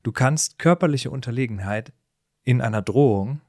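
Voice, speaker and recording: A man co-hosting a casual, humorous podcast conversation, explaining things in an animated way and often chuckling as he talks. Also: mid-range voice, normal speed, no echo, studio quality. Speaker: podcast co-host.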